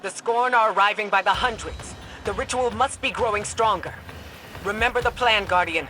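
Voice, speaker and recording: A woman playing a resolute, grave commander in a video game, with measured, earnest, commanding delivery. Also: mid-range voice, background noise, lightly acted. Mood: urgent, shouting orders. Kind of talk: dramatic video game briefing. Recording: voice under loud game music.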